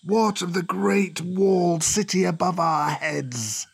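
Ancient menacing cobra, agonizingly slow. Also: male voice, high voice, vocal fry, breathy edge, voice pushed up, full character voice. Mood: haughty, suspicious. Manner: slow, drawn-out, ominous delivery. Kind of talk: storybook character voice.

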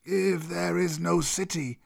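Old male cobra, storybook villain, very slow drawl, raised pitch, proud, menacing, ominous. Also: fairly even intonation, creaky, full character voice. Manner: slow, drawn-out, ominous delivery. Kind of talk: storybook character voice.

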